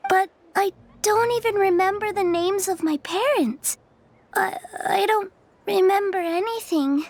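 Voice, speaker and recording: An actress playing a timid, vulnerable young girl who speaks haltingly in a video game story. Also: extremely high pitch, a little hiss or hum. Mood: hesitant. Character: timid, unsure young girl who lost her memory. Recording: music under the voice.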